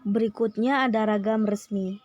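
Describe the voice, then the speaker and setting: A woman's voice: mid-range voice, fairly even intonation, moderate pace, darkish tone. A young adult woman giving a scripted educational presentation as a student, methodically going through numbered points.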